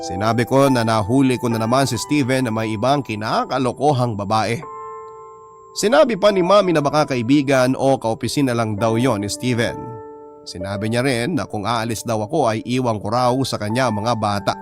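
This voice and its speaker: A man's voice: medium pitch, moderate pace, music in the background, close to an everyday voice. A man with a Filipino accent narrating a scripted first-person story.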